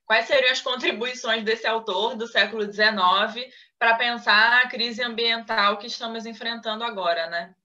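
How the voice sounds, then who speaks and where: Woman guest, Rio-Salvador accent, bright and slightly high, measured academic manner. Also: fairly even intonation, muffled recording. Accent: Rio de Janeiro with Salvador traces. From Brazil, Salvador and Rio de Janeiro. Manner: measured, academic, tags phrases with "né". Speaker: guest, philosopher and psychoanalyst. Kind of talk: interview answers.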